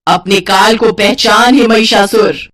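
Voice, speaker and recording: A woman's voice: mid-range voice, steady tone, a little slow, a bit bright, far from the mic, natural voice. A woman voicing a fierce, righteous warrior goddess in a mythological cartoon story, commanding and solemn. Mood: righteous fury. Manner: commanding, solemn, theatrical proclamations.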